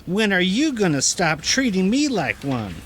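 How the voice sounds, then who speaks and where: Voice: mid-range voice, big pitch swings, measured pace. A performer playing a sassy, precocious young woman, flippant and smart-mouthed, in an amateur radio play. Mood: defiant.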